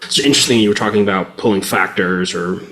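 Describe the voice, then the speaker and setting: Low-voiced man in an echoey room. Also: fast, a bit bright. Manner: brief attentive acknowledgements. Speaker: interviewer. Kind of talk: casual interview chat. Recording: roomy, echoey sound.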